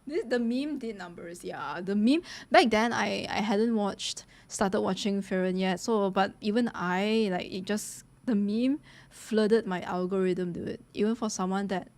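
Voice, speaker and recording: A woman streamer reacts casually to what she watches. She gushes and talks in loose slang, addressing her viewers like friends, in a mid-pitched voice. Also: measured pace, darkish tone. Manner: gushing, slangy, addresses viewers as guys and bro. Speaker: streamer reacting to a game trailer.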